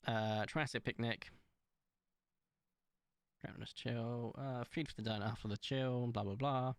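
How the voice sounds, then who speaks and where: A man streaming a game, chatting casually in a calm, smooth voice as he thinks aloud and rambles with fillers. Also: mid-range voice, unhurried, no room sound, clean studio sound. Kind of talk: casual gaming livestream chat.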